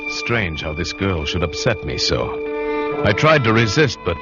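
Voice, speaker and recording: Radio drama lead: earnest, uneasy yachtsman, dramatic delivery, deeper register, dull old broadcast recording. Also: sing-song, measured pace, exaggerated voice. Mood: brooding. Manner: brooding, dramatic. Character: earnest, uneasy seafaring man narrating his adventure. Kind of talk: 1940s-50s radio drama narration and dialogue. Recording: muffled vintage radio, music behind.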